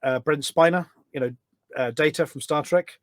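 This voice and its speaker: A man's voice: a little high, normal speed, dry recording. British man chatting, dry close sound. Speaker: podcast guest, voice pack developer. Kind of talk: casual anecdotal chat. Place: small studio.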